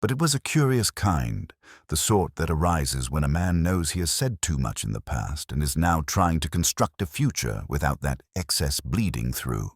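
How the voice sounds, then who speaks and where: A man playing a brooding, world-weary police inspector, narrating a mystery in measured, literary, ruminative first person. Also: very deep voice, sing-song, breathy edge, dry recording, clean studio sound, full character voice. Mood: brooding, reflective.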